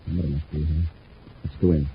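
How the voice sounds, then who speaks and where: A man playing a calm, authoritative space patrol commander in terse 1950s radio style. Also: very deep voice, fast. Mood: alert. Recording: muffled old radio recording, faint noise.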